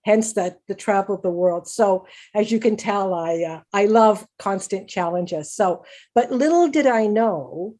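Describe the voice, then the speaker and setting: A woman's voice: mid-range voice, expressive, unhurried, warm tone. A woman in her sixties with a Canadian accent, giving a personal conversational presentation as a patient speaker, candid and informal. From Canada, raised in Hamilton, Ontario.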